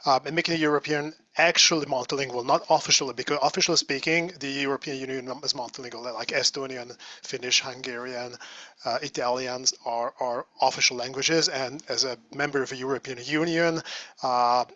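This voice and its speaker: A man's voice: medium pitch, fairly even intonation, brisk, pushed and bright, no echo, highs cut off. A man asking a long, earnest question in an online academic seminar over a video call. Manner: earnest, argumentative, piles up figures.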